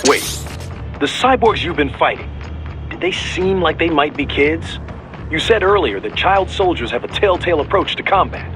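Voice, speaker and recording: An actor playing a brisk, level-headed mission handler in a video game, inquisitive and commanding. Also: male voice, a little high, lively intonation, over music, lightly acted. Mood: questioning. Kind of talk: video game cutscene acting.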